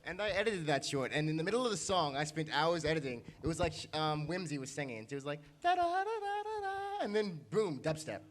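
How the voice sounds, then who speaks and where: A man's voice: on the high side, expressive, fairly quick, hardly any pauses, background noise. A man telling casual anecdotes as a panelist at a fan convention. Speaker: convention panelist and audio editor. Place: convention meeting room.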